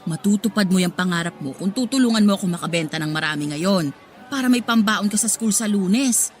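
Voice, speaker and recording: A woman's voice: mid-range voice, expressive, fairly quick, soft background music, close to an everyday voice. A woman as an exasperated, hard-working market mother. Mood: scolding. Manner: pleading, scolding, motherly. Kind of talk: Tagalog radio drama acting.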